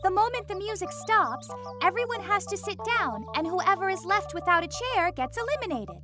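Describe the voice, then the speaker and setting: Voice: very animated, moderate pace, over music. A performer voicing an eager, friendly child character in a kids' cartoon, explaining things simply in a childlike voice. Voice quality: high, bright, childlike. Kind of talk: animated kids' cartoon dialogue.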